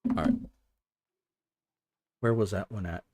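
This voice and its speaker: A man giving casual gaming stream commentary, explaining things hesitantly as he thinks out loud. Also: fairly low pitch, measured pace, noisy background. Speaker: game streamer.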